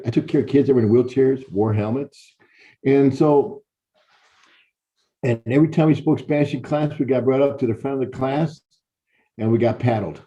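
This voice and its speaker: A man's voice: medium pitch, mellow. A middle-aged man from Chicago, a university professor telling his life story to students in a conversational, repeating way. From Chicago, Illinois. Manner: conversational, repeats words and restarts, direct address. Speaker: university professor giving a talk. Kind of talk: personal storytelling lecture to students. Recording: dull lo-fi recording.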